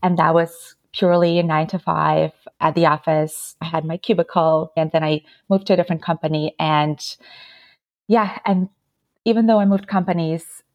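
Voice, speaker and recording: Low-voiced woman from Ukraine. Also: fairly even intonation, normal speed, no room sound, dull audio. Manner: reflective, telling stories with small laughs. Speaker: podcast guest. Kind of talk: casual interview talk.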